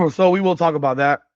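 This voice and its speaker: A man in his forties with a slightly high voice hosts a sports talk stream, ranting with plenty of cursing and leaping upward when something fires him up. The sound is dry and a little dull and lo-fi. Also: no echo. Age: 40s. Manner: ranting and profane, voice jumping up in pitch when worked up. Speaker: podcast host. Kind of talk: casual sports talk livestream, reading chat comments.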